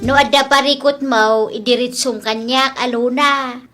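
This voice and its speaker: An aging Ilocano mother , acted by a woman, speaks in a high, bright voice. She draws out her exclamations plaintively, in the manner of homespun comedy drama, and is easily offended. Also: steady tone, measured pace, faint music behind. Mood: indignant.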